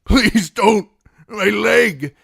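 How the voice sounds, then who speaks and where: A man voicing a frightened male fool who calls out and pleads in high, strained cries. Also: lively intonation, very slow, gravelly, a bit bright, dry recording, studio quality, exaggerated voice. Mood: whimpering.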